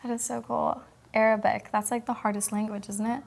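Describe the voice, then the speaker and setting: An American woman from Kentucky hosts a casual podcast interview in a fairly high voice with plenty of gravelly fry. Spanish was her first language, and she keeps the talk chatty and informal. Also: little pitch movement, brisk, a little mellow. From Kentucky, United States. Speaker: podcast host.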